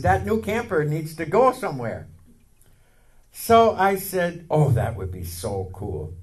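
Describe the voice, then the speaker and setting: A man in his fifties giving a sermon testimony, telling a personal story and acting out the words of others with rising excitement. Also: medium pitch. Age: 50s.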